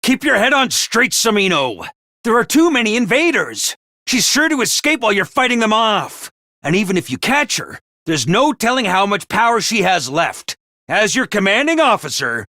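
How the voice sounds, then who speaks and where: Peppy cartoon mascot commander, very high voice, swooping intonation, bossy, theatrical, video game style. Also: male voice, bright, forceful tone, clear, resonant tone, dry recording, clean studio sound, exaggerated voice. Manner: theatrical, bossy, jaunty drill-sergeant delivery. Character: peppy, bossy little mascot commanding officer of student soldiers. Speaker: voice actor for a video game character. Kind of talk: animated video game voice acting.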